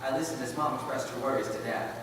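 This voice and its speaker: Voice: mid-range voice, quick pace, distant mic, lightly acted. A performer playing a missionary pilot's earnest young son in a readers theater piece. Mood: earnest, reflective. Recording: very echoey hall from afar, noisy.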